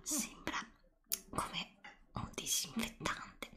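Slow, breathy whispering woman, ASMR. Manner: hushed, slow whispering with pauses and repeated words. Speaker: ASMR video creator. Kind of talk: ASMR product show-and-tell. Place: indoors, close-up before a green screen. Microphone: close ASMR microphone.

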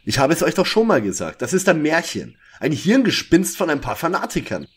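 A man's voice: fairly high pitch, huge pitch swings, fairly quick, breathy edge, exaggerated voice. A man playing a sensible, earnest teenage detective in an audio drama parody. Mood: sceptical.

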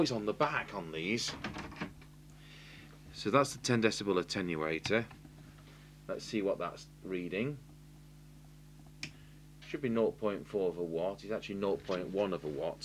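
A man's voice: low voice, a little hiss or hum. A man with an English accent giving an informal hands-on repair demonstration, matter-of-fact and thinking aloud as he works. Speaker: radio repair technician, presenter. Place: indoors at a workbench.

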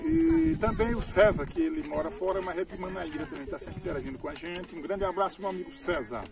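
A man in his forties with a Northeastern Brazilian accent, hosting a casual vlog and sending folksy shout-outs to viewers. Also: high voice, lively intonation, fast, creaky, far from the mic. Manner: chatty, folksy, frequent "né?" tags. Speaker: vlogger host. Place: outdoors by a rural house wall. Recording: outdoor phone recording with loud background noise.

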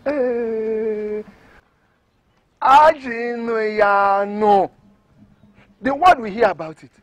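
A man's voice: high voice, extremely slow, dry recording, heavily acted. An actor with a Nigerian accent playing a blunt, accusing older village man in a film drama, scolding and questioning. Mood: scornful.

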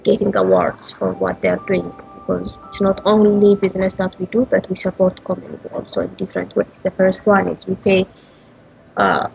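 A woman's voice: medium pitch, sing-song, normal speed, creaky, darkish tone, highs cut off. A woman from Ethiopia in her thirties, answering interview questions earnestly with frequent hesitations, over an earbud headset on a video call. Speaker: entrepreneur interviewee. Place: small indoor room.

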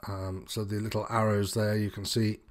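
A man's voice: fairly low pitch, level tone, moderate pace. A man giving an informal, unscripted walkthrough as an instructor, explaining things in a slightly hesitant, meandering way. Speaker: sailing instructor presenting tutorial.

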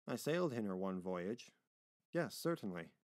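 A man's voice: on the low side, very animated, normal speed, dry recording. A male reader plays a worn, injured sailor from a sea novel. He speaks with polite, proud earnestness in a dry recording. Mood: matter-of-fact.

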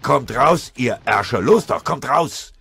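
A man's voice: mid-range voice, lively intonation, takes it slowly, gravelly, a bit bright, a little hiss or hum, pitched above the performer's own voice, heavily acted. A man voicing a commanding, wary German army squad leader in an audiobook, sardonic and barking. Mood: furious shouting.

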